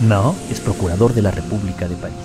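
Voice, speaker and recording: A man's voice: lowish voice, fast talker, music in the background. A man reading scripted documentary narration as co-narrator of an audio series.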